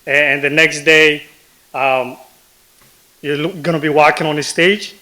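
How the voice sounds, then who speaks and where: Bright, even-toned man giving halting advice. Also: fairly high pitch, poor audio. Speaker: guest speaker, professional. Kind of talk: informal advice speech to students.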